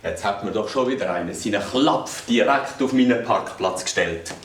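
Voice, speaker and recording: A man's voice: fairly low pitch, normal speed, lightly acted. Guy playing a laid-back joking swiss cop buddy, sounds far off in a roomy space. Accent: Swiss German. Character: joking, easygoing male police colleague. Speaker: police officer. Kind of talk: children's radio play acting. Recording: roomy, distant, noisy recording.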